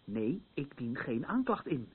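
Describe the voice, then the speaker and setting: An actor in a radio play, playing a concerned, exasperated confidant who questions pointedly and protectively, repeating himself. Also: male voice, medium pitch, big pitch swings, unhurried, slightly rough, exaggerated voice. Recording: muffled, phone-like narrow band.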